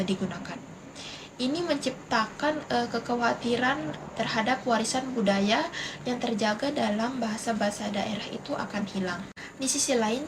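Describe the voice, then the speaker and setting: A young adult woman giving a prepared student presentation in a recited storytelling manner, with hesitations. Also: a little high. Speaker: university student presenting an assignment. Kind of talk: prepared educational narration. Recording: noisy amateur recording.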